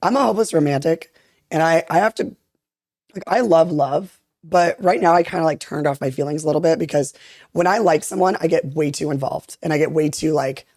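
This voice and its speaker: A young woman in her twenties with a deep voice, chatting candidly and rambling on a podcast, filling her talk with "like". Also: brisk, darkish tone, no echo, clean studio sound. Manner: candid, rambling, heavy use of "like". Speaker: interviewee. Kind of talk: casual podcast chat.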